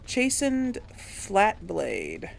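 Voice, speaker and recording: Slow-talking woman, slightly creaky gamer. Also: medium pitch, wildly swooping pitch, slight background noise. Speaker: gaming video host. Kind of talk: casual let's play commentary.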